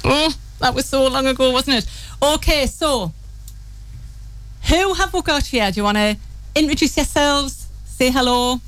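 A woman's voice: sits high, unhurried, a bit bright, poor audio. A woman hosting a casual interview, chatty and enthusiastic, often restarting her questions. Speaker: radio host.

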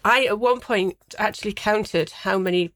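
A middle-aged woman with a slightly croaky voice, co-hosting casual, wry radio banter. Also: medium pitch, normal speed, fairly bright tone. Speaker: radio co-host. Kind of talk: casual chat. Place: radio studio.

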